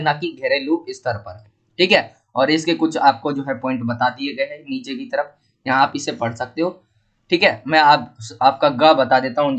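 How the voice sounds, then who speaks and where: A man with a sore throat, walking students through an exam paper in a steady, explaining lesson with lots of fillers. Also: a little high.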